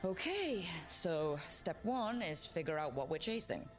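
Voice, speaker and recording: A young female adventurer leading her team in a fantasy game. Her voice is low and measured, earnest and steady, with music clearly playing underneath. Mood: concerned, steady. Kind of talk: video game cutscene acting.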